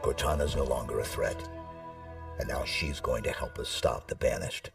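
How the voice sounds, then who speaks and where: A man voicing an armored super-soldier who speaks in terse, clipped, low-key lines. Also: normal speed, vocal fry, far from the mic. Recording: game audio, loud music, off-mic.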